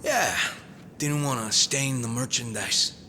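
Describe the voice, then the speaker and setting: An actor playing a taunting, theatrical gang boss in a video game, swinging between quiet menace and yelling. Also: male voice, mid-range voice, slow, a little hiss or hum. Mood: mock friendly. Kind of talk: dramatic game villain acting. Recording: reverberant hall, loud music behind.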